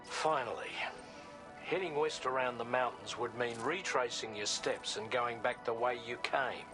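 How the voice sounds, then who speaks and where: A man playing a practical adviser who briefs a courier on routes in a game cutscene, in a steady, colloquial manner. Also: mid-range voice, moderate pace, lightly acted. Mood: calm. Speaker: mission supporter. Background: game music.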